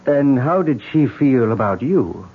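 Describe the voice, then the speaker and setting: An actor playing a calm male psychiatrist who asks probing questions, in a 1970s radio drama. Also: medium pitch, unhurried, breathy edge. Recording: tinny lo-fi radio broadcast.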